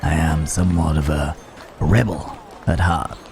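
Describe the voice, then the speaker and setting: Man acting a low, slow-drawling roguish cowboy. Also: fairly even intonation, noisy background. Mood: roguish, reassuring. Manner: drawling and halting, with teasing tag questions. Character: roguish, wry Wild West cowboy outlaw with a protective streak. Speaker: audio roleplay voice actor. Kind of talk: ASMR roleplay acting, addressed to the listener.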